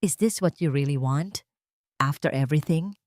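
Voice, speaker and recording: A female narrator plays a wounded young wife in a romantic drama audiobook. She speaks quietly and gravely, holding herself back. The voice is lowish and recorded dry. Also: normal speed, no room sound, lightly acted. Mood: hurt, pleading.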